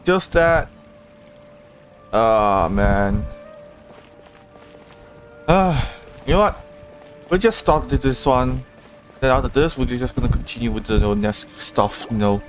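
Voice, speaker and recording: A man's voice: mid-range voice, expressive, slow pace, pauses often, faint music behind. A man livestreaming a game, chatting casually and reacting informally with some swearing. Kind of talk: casual gameplay livestream chat.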